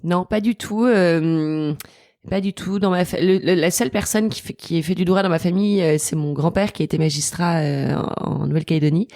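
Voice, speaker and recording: A woman's voice: on the low side, fairly even intonation, brisk, dark tone, dry recording, studio quality. A French woman in her thirties, a guest chatting casually in an interview, hesitant with many fillers and frequent laughs. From France. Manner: hesitant, lots of fillers and restarts, laughs often. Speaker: podcast guest.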